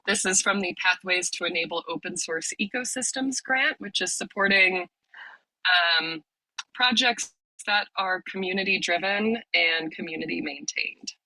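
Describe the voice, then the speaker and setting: A woman leading an online workshop on a video call, explaining the steps in a friendly way with many ums, a little hoarse from a cold. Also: medium pitch, normal speed, bright, forceful tone, dry recording, rough recording. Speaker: workshop leader, lab director.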